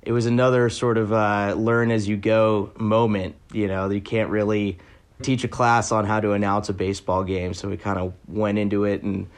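A man's voice: fairly low pitch, level tone, normal speed, clear, resonant tone. A man working as a reporter, explaining things in a thoughtful, conversational interview style. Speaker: education reporter.

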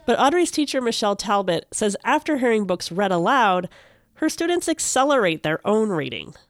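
A woman's voice: medium pitch, sing-song, moderate pace, no echo. Woman radio host with dry, close sound. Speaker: podcast host, radio reporter. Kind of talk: radio narration and conversational interviewing.

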